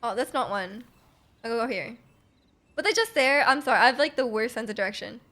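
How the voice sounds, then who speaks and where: A woman's voice: on the high side, animated, fairly quick, pushed and bright. A woman chatting casually with viewers while she plays a game on a live stream, rambling and repeating herself in a giggly, hesitant way. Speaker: game livestreamer. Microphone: close streaming microphone.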